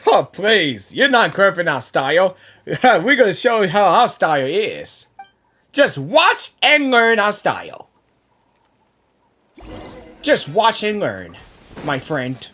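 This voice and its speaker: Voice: medium pitch, sing-song, slow, long pauses, a bit bright. A gaming streamer reacting casually and playfully to a game, repeating phrases and talking back to it. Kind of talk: casual excited gameplay reaction commentary. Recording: game music behind the voice.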